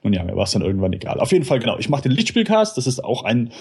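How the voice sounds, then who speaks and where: A man's voice: mid-range voice, very animated, rapid-fire, creaky, darkish tone, breathy edge. A man speaking as a guest podcaster in casual chat, rambling and self-deprecating in long, nested sentences.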